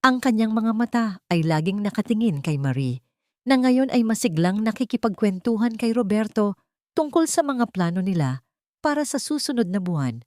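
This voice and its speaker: A woman's voice: medium pitch, animated, normal speed, warm tone, no room sound, studio quality. A woman narrating a scripted dramatic family story as a storyteller.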